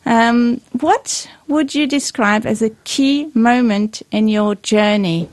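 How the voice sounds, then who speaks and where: A woman's voice: a little high, slow pace, a little mellow, no echo. Chatty woman radio host, dry sound. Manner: chatty, encouraging. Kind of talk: community radio interview. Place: radio studio.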